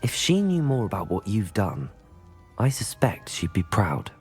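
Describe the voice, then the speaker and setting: A man's voice: on the low side, wildly swooping pitch, measured pace, slightly rough, faint music behind, heavily acted. An actor voicing a polite, curious young male student in a video game cutscene. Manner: polite, inquisitive.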